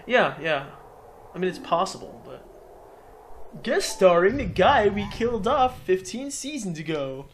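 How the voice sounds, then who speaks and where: A man giving casual, animated commentary as he plays a video game with a friend, joking and slipping into mock voices. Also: high-pitched, unhurried, a little hiss or hum. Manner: animated, joking, breaks into mock voices and sung sound effects. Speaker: let's play host and player. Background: video game music.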